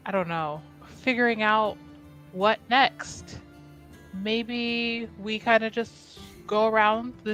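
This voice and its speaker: Slow-talking woman at a tabletop game. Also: medium pitch, fairly bright tone. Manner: hesitant, filler-heavy, wry. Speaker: player. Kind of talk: tabletop roleplay chat.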